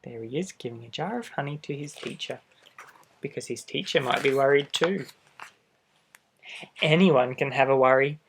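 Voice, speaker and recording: A man reads a picture book aloud to young children in a gentle, expressive way, adding little asides straight to the listener. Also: mid-range voice, normal speed, long pauses, noisy background. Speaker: storybook reader for children.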